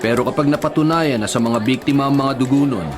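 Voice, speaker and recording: A man playing a stern, blunt police investigator with a Filipino accent, speaking deliberately in a radio drama. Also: medium pitch, close to an everyday voice. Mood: grave, blunt. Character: stern, matter-of-fact adult male police investigator. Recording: dramatic music behind. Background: music.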